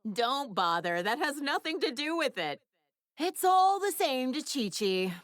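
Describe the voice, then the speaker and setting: Breezy, teasing anime woman, high voice. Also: big pitch swings, measured pace, a bit bright, no echo, studio quality, exaggerated voice. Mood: amused. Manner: wry, casual, teasing. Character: breezy, teasing, self-assured middle-aged woman, anime friend. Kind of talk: English-dubbed anime game voice acting.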